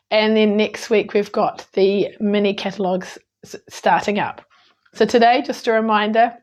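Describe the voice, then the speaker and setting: A woman with a New Zealand accent, hoarse today, chatting through a card-making tutorial with frequent ums and small self-corrections. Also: medium pitch. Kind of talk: casual crafting tutorial.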